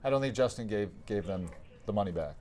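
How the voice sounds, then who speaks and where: Quick-talking male news anchor. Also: on the low side, animated, background noise. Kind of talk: news presentation with casual co-anchor banter.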